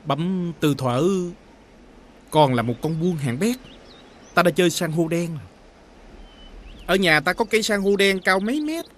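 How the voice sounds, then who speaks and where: An actor with a Southern Vietnamese accent playing a smug, bragging rich duke in a fairy-tale costume film. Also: male voice, high voice, lively intonation, measured pace, long pauses, fairly bright tone, a little hiss or hum. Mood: boastful. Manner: bragging, showy. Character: smug, boastful rich male duke, former merchant.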